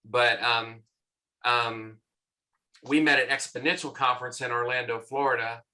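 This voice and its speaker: A man's voice: medium pitch, fairly even intonation, unhurried, bright, forceful tone, poor audio. A middle-aged man with a Southern US accent, a church consultant talking as a podcast guest in a friendly, rambling way. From American South. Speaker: church consultant and conference leader.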